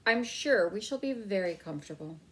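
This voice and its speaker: A woman's voice: medium pitch, expressive, brisk, lightly acted. A woman narrator voicing an anxious mother on a road trip, fretful and complaining, in a storybook reading. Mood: apologetic.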